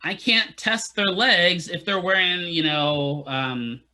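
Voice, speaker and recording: A man lectures a class of therapy students in a loose, joking way and checks in constantly with the room. His voice is bright and forward, and it sits a little high for a man. He sounds at ease in front of his students. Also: slow pace. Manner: casual, asks "right?" often, jokey asides to students. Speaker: physical therapy instructor.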